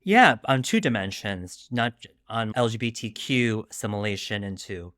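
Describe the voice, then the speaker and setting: Low-voiced man, chatty and self-deprecating. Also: moderate pace, no room sound, studio quality. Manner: animated, self-deprecating, chatty, quotes his own thoughts. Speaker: guest author discussing his debut novel. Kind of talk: casual interview chat.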